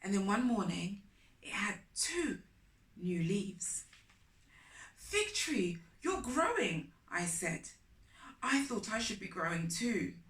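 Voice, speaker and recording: A woman reading aloud as a children's storytime host, with deliberate, emphatic, expressive phrasing. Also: mid-range voice. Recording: roomy echo, distant microphone.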